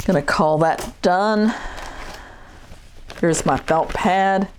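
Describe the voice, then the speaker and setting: Woman instructor, low voice, easygoing and thinking aloud while she works, workshop noise behind her. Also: very slow. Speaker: shear sharpening instructor. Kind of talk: hands-on demonstration talk.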